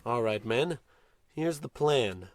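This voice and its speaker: A man's voice: mid-range voice, lively intonation, takes it slowly, noisy background. A man playing a bumbling, pompous American space captain in a melodramatic old-time radio comedy style. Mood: bossy, dismissive.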